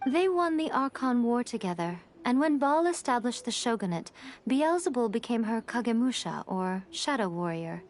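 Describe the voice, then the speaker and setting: Elegant, sly, higher-voiced priestess. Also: female voice, very animated, normal speed, darkish tone, slight background noise. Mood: composed, explanatory. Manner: composed, knowing, playful, formal. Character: sly, elegant, teasing shrine priestess spirit woman. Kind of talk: scripted game story dialogue.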